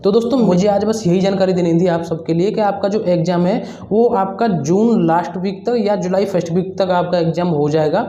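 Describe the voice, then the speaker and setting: A young man explains things to students in an informal, advisory way. His voice is soft-edged and mellow, and he tends to restate his points. The recording is dull and lo-fi, with clear room echo. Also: sits high, a little fast, few pauses. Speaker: exam-prep advice presenter. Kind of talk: informal instructional talk to students. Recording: roomy echo, dull lo-fi sound.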